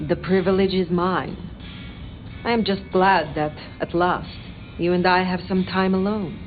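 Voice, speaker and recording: Actress doing a cool commanding old vampire sheriff lady, game dialogue, kinda low voice, super measured, music behind. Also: fairly even intonation, narrow, tinny audio, lightly acted. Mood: courteous, composed. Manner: formal, measured, archaic phrasing. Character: formal, commanding female elder vampire sheriff, coolly direct. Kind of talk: video game dramatic dialogue.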